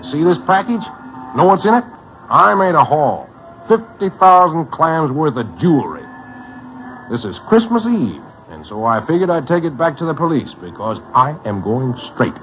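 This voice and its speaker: A man's voice: a little high, big pitch swings, moderate pace. A resolute New crook with a big heart, talking in emphatic, clipped tough-guy style. His delivery swings widely, in old radio drama manner, on a muffled, noisy recording. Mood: determined. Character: bighearted, resolute crook going straight. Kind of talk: vintage radio drama acting.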